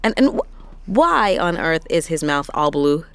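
A low-pitched worn-out woman trucker in an audio drama. She is a worried mother, frustrated and halting, and talks in a natural, exasperated way. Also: very animated, a little hiss or hum, heavily acted. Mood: exasperated affection.

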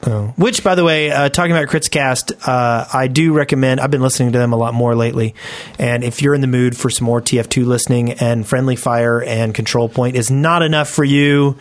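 A man with an average-pitched voice co-hosts a podcast. He talks in a casual, conversational way, moving between loose chat and reading aloud. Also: fairly even intonation, a little fast. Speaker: podcast co-host.

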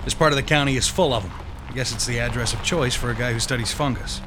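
An actor playing a level-headed county detective, dry and matter-of-fact. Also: male voice, mid-range voice, fast, noisy background, natural voice.

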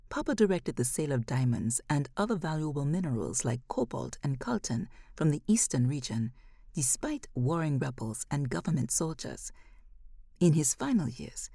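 A woman's voice: deep voice, warm tone, no room sound, clean studio sound. A woman reading a first-person short story as scripted narration.

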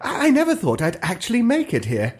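A man's voice: sits high, huge pitch swings, brisk, a little mellow, husky, voice pushed up, heavily acted. A man voicing a flustered bearded stranger who stammers in disbelief in an audio story. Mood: amazed, awkward. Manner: stuttering, breathless disbelief. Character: flustered, stammering bearded male visitor from elsewhere.

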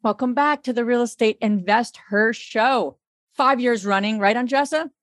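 A woman's voice: a little high, dry recording, clean studio sound. A woman co-hosting a podcast, welcoming listeners and introducing the guest in an upbeat way. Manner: upbeat, quick. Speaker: podcast co-host.

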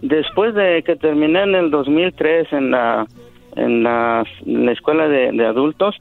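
Middle-aged man, native Zapotec speaker, gravelly voice, tinny phone line, hesitant earnest telling. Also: a little high, measured pace, soft background music. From Mexico. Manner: hesitant, repeats words, earnest. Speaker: radio guest. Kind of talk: radio phone interview. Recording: phone line over radio.

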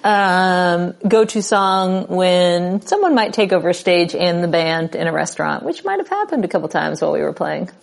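A woman in her forties hosting a casual, playful interview, animated and quick to laugh. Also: lowish voice, creaky. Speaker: interview host.

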